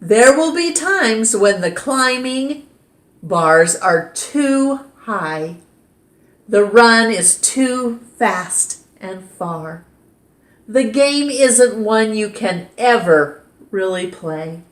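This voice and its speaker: A woman reading a picture book aloud at storytime in a gentle, expressive way. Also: fairly high pitch, drawn-out words. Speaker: storytime reader. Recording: roomy echo.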